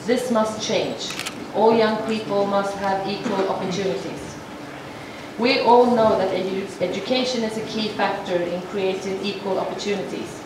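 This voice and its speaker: A woman voicing a formal speech to an assembly as a sign language interpreter, measured and halting, with frequent restarts. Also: mid-range voice. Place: large assembly hall. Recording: echoey hall from far off, noisy.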